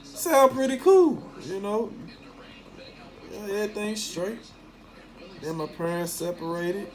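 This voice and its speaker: Memphis guy w southern accent just talkin his opinions, real slow, kinda high voice, blunt, lots of slang and cussing. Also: a crowd in the background, low-quality recording. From Memphis, southern United States. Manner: blunt, heavy slang and swearing. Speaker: talk host. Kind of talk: casual opinionated monologue.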